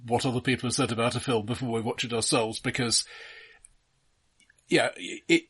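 A middle-aged man co-hosting a casual film discussion, speaking in a measured, wry way with careful qualifications. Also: medium pitch, fairly even intonation, fairly bright tone, breathy edge. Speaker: podcast co-host.

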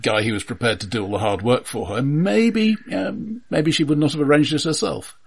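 A man co-hosting a film podcast, explaining his points in a measured, analytical way during casual discussion. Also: mid-range voice, animated, gravelly, slightly rough.

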